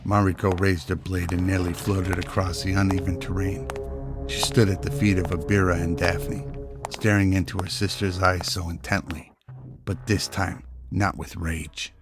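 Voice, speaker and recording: Deep-voiced male radio drama narrator. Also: level tone, moderate pace, music in the background.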